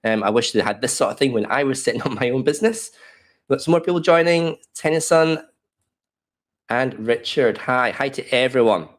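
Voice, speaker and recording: A man in his fifties with an Australian accent presenting a live online workshop from his home office over a webcam call. He sounds a little croaky from a cold and talks in a loose, welcoming way with plenty of fillers. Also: medium pitch, expressive, normal speed. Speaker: webinar presenter. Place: home office in a desk chair. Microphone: webcam video call.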